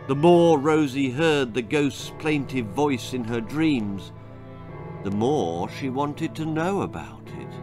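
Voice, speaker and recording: A man reading a spooky, darkly comic children's story as its author, with dramatic, suspenseful delivery. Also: medium pitch. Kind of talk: scripted children's horror-comedy storytelling.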